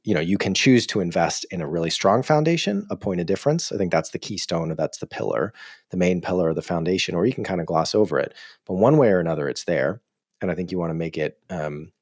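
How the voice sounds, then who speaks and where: A man's voice: sits low, dry recording, clean studio sound. A man hosting an interview podcast, talking in a conversational, reflective and discursive way. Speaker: podcast host.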